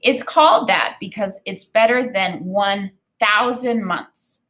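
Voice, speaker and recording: A woman in her thirties, an elementary teacher, reading a children's novel aloud and explaining it in an expressive way. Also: medium pitch, slow pace. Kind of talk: read-aloud of a children's novel with think-aloud commentary. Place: small indoor room with bookshelf and whiteboard.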